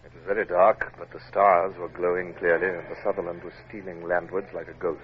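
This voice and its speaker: An actor playing a grave naval captain who narrates his own adventure in a subdued, measured way for a radio drama. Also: sits low, flat delivery, close to an everyday voice. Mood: subdued, tense. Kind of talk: first-person scripted narration in old radio drama. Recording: tinny, noisy vintage radio recording.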